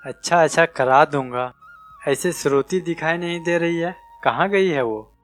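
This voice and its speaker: A man's voice: a little high, a little slow, a bit bright. Storybook father: male actor burdened, weary, tender family man, faint music underneath. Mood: weary, affectionate. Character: tired, affectionate, burdened father and husband.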